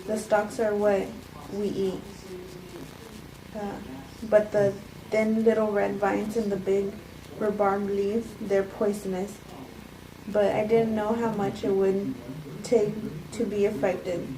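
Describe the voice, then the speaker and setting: A woman reads as a self-justifying woman who calmly confesses to poisoning. Her delivery is halting, like a cold script read. She sounds distant in an echoey room, with clear noise behind her. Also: medium pitch. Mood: matter-of-fact, bitter. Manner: halting, stumbling amateur reading. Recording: echoey room, distant mic, noisy background.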